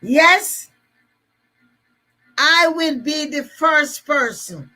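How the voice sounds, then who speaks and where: A woman's voice: high voice, animated, extremely slow, sharp tone. A woman with a Jamaican accent giving an emphatic, repetitive motivational talk to listeners. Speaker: motivational speaker.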